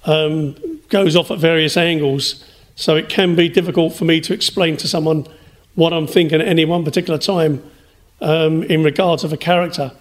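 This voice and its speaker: Higher-voiced, hesitant man. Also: steady tone. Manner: hesitant, measured phrasing. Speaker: interview guest, writer and storyteller. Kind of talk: reflective radio interview.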